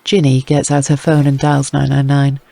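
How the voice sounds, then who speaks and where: A man's voice: mid-range voice, completely flat delivery, normal speed, vocal fry, mellow, no echo. A man reading scripted dramatic narration as an audiobook narrator.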